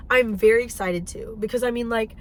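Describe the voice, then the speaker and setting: A woman's voice: on the high side, fairly quick. A woman in her twenties chatting casually and emphatically while driving, blunt and swearing freely. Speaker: fitness vlogger. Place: in a car. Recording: faint car noise behind.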